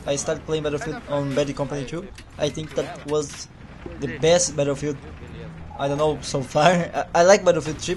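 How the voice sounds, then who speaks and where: A young man with a Brazilian Portuguese accent introducing himself in casual, hesitant English, with frequent laughs and repeated words. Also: on the high side. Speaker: video producer. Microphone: voice-over over gameplay.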